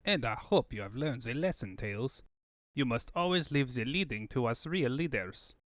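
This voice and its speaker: A man portrays a self-important cartoon would-be leader. He lectures boastfully with widely varied pitch. The sound is dry. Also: lively intonation, normal speed, a bit bright, dry recording, natural voice. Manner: pompous, lecturing. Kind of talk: comic book fan dub.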